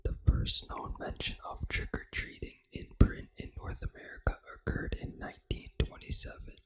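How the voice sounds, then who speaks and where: A gaming ASMR host speaking entirely in a soft, breathy, unvoiced whisper, reading out in an unhurried, deliberate way.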